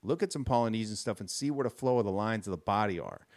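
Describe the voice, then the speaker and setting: Male host, low-ish voice, relaxed tattoo-shop interviewer. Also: quick pace. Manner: laid-back, calls people "man". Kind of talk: casual interview chat.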